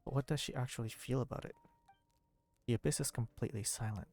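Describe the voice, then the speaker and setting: A man's voice: medium pitch, fast talker, a little mellow, faint music behind. A man streaming a video game, giving casual commentary and thinking aloud as he plays.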